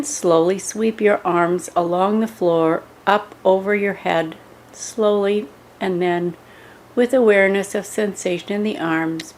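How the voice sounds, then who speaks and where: Woman reading a guided yoga meditation, really slow, lowish voice, some noise behind her. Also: a little mellow, poor audio. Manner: measured, instructional, with pauses between short phrases. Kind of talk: scripted guided meditation instruction.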